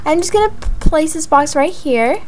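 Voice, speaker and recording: A young woman voicing a chatty, excitable young mother in a gaming roleplay vlog, gushing and drawing out words for emphasis. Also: sits high, normal speed, a little hiss or hum. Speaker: video creator voicing her game character. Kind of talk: voiced life-simulation roleplay vlog narration. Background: background music.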